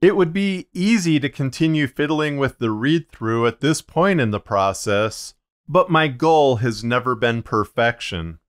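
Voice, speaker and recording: Male podcast narrator, mid-pitched, slightly muddied tone with limited range. Measured, plain-spoken explainer delivery. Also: lively intonation. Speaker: fiction podcast narrator and video host.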